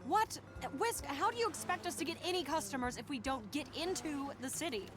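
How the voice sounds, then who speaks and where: A woman voicing a brash, hot-tempered, sardonic female robot character in a video game cutscene, blunt and expressive. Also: sits high, fairly quick, over music. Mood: indignant.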